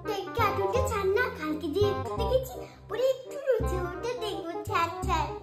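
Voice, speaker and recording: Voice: extremely high pitch, expressive, fairly quick. A performer voicing an eager, meek young child in a children's cartoon, pleading and compliant, with echo and music. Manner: pleading, compliant, wide-eyed. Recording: echoey, loud children's music behind.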